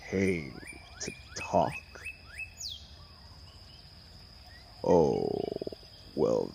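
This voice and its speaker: A man playing an arrogant, mocking telepathic supervillain in an audio roleplay, with a gravelly voice and a sneering, sarcastic, theatrical manner. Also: extremely low pitch, a little mellow, a little hiss or hum, exaggerated voice. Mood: sardonic. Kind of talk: dramatic audio roleplay monologue.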